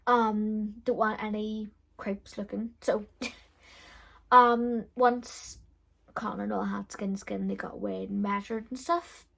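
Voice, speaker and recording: Chatty young woman, gravelly. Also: mid-range voice, steady tone, takes it slowly. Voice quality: loud voice. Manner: chatty, frank, with frequent fillers and laughs. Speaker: beauty vlogger. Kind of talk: casual storytelling.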